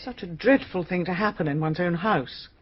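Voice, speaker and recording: An actress with an English accent playing a frank, rueful middle-aged mystery writer, musing aloud in a radio drama. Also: female voice, fairly low pitch, normal speed, lightly acted. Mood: rueful, upset. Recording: dull, noisy radio drama recording.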